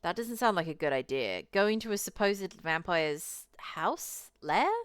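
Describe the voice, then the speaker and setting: A woman's voice: fairly low pitch, lively intonation, measured pace, smooth, dry recording, clean studio sound. A woman voicing an anxious young woman with a worried, questioning manner in a visual novel game. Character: anxious, doubtful young woman fretting for her friend. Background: music.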